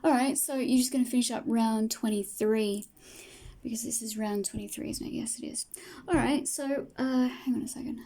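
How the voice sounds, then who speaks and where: Soft-spoken woman with a tired, fading voice. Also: a little high, creaky. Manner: soft, patient, talks through each stitch. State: losing her voice. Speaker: crochet tutor. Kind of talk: step-by-step crochet tutorial. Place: home table, indoors.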